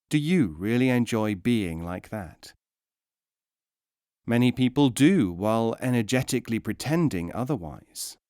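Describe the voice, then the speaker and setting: A man reading philosophical teaching stories as a scripted audiobook narration, in a measured, emphatic, instructive way. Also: on the low side, darkish tone, no echo, studio quality.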